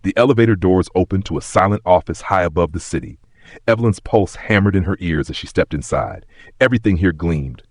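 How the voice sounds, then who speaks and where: A man's voice: low-pitched, expressive, fairly quick, dry recording, studio quality. A man narrating a scripted story with a dramatic, suspenseful and emphatic delivery.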